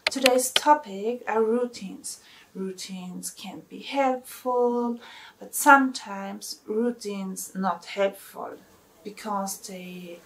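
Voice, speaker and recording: A woman's voice: mid-range voice, slight background noise, low-quality recording. A woman in her thirties, a native German speaker, talking hesitantly in a home vlog with fillers and careful phrasing. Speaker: vlogger. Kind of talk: first-time talking vlog. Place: bright indoor room at home. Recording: music bed under the voice.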